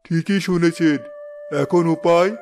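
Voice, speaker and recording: High-voiced cartoon king played by a man. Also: steady tone, unhurried, a little mellow, lightly acted. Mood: commanding. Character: anxious, commanding, remorseful fairy tale king. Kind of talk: cartoon fairy tale voice acting. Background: background music.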